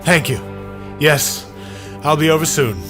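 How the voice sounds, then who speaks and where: A man's voice: fairly high pitch, measured pace, pitched above the performer's own voice, natural voice. Man voicing a polite elderly stranger, music behind. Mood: relieved, joyful. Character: kindly worried elderly man, stranger searching for his wife. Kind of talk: audio drama character acting.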